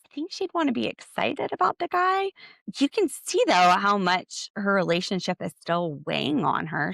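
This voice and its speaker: A woman hosting a podcast alone, recapping a reality show in a chatty, sarcastic way with jokey asides and wide swings in pitch and pace. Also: gravelly, fairly bright tone, dry recording. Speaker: podcast host. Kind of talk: solo recap commentary.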